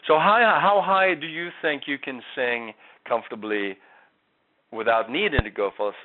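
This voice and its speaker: A man's voice: medium pitch, normal speed. A man giving a one-on-one singing lesson, explaining step by step in a patient way. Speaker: voice coach. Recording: tinny call line, faint hiss.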